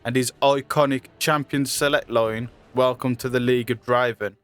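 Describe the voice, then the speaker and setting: A man's voice: medium pitch, fairly even intonation, moderate pace, clear, resonant tone, no echo. A man reading scripted trivia narration as the narrator of a video. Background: music.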